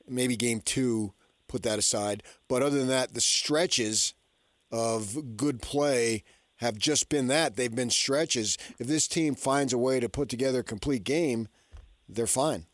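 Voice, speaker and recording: A man's voice: mid-range voice, no room sound. A man hosting sports radio, talking informally and arguing his points in short conversational phrases.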